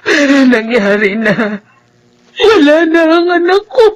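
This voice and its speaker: A high, tearful voice plays a bereaved Filipino mother in a radio drama. She sobs through her words with heavy sorrow. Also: very animated, unhurried. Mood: tearful grief. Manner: tearful, sobbing delivery. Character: grieving mother of a dead young woman.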